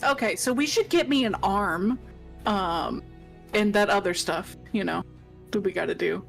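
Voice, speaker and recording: A woman's voice: medium pitch, unhurried, creaky. A woman playing a live tabletop game, bantering casually with a dry, playfully sarcastic manner. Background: music.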